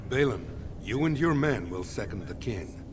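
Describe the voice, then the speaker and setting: An actor playing a stern military commander in a fantasy game, speaking formally and with measured authority. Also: male voice, lowish voice, lively intonation, background noise, lightly acted. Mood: formal, firm. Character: stern male military commander briefing a young noble. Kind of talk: fantasy video game dialogue. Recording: game music behind.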